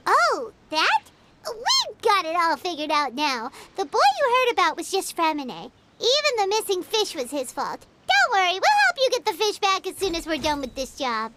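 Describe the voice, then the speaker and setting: A woman voicing a small, excitable, childlike female fairy companion in a video game, chirpy and exclaiming. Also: very high voice, normal speed, very noisy, full character voice. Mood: surprised, assured. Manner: chirpy, exclaiming, stammers when startled.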